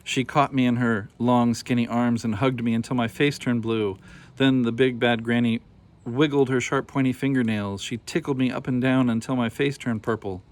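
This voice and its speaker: A man's voice: medium pitch, fairly even intonation, moderate pace. A man reading a scripted children's story aloud as narrator. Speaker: storybook reader.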